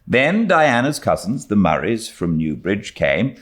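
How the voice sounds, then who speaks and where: A man with a British accent reading a classic novel aloud as an expressive audiobook narrator. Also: fairly low pitch, a little slow. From Britain. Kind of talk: expressive literary story narration.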